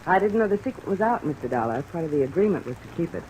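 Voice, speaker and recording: A woman's voice: on the low side, very animated, very fast, warm tone, low-quality recording. An actress playing a polite, secretive woman on the run in an old radio drama, composed and apologetic. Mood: polite, guarded. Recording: vintage radio recording, rain noise.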